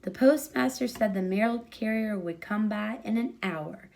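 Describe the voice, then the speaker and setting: A woman's voice: medium pitch, a little slow, vocal fry, a little mellow. A woman reading a short story aloud as a storyteller, in scripted narration.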